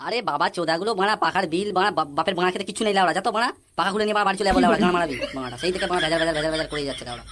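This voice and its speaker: A man's voice: high voice, rapid-fire, few pauses, crowd noise, close to an everyday voice. A man voicing a foul-mouthed, blustering young thief in a comic cartoon. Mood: boastful, irritated. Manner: swearing constantly, blustering. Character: foul-mouthed brash young male neighbourhood thief, defiant.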